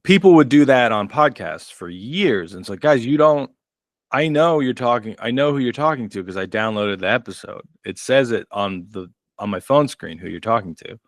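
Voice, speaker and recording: A man's voice: medium pitch, lively intonation, moderate pace, dry recording, clean studio sound. A middle-aged man, a comedian co-hosting a podcast, chatting casually and rambling in a self-deprecating way. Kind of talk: casual chat.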